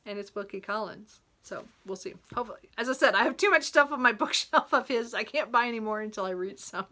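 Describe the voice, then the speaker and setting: A woman's voice: a little high, fast, sharp tone. A woman chatting casually about her reading list as a book vlogger, rambling and giggly, often correcting herself and apologizing. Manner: giggles often while talking.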